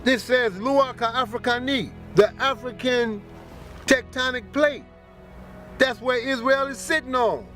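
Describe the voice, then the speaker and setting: Very high-voiced assertive man. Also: measured pace, over music. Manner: assertive, explanatory. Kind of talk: explaining and interpreting.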